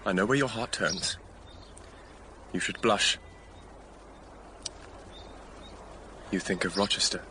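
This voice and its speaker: An actor playing a stern, pious young clergyman in a period radio drama, solemn, commanding and measured. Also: low-pitched, pushed and bright, a little hiss or hum. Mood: stern reproach. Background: dramatic underscore music.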